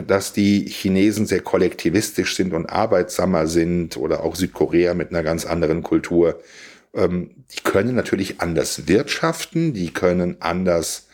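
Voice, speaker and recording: A man's voice: sits low, darkish tone. A man speaking in a reflective discussion, explaining things in an articulate, emphatic way.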